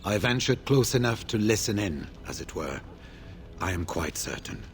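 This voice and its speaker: An actor playing a grave veteran warden scout in a fantasy video game, speaking in a formal, measured way. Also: male voice, on the low side, animated, faint music behind, natural voice.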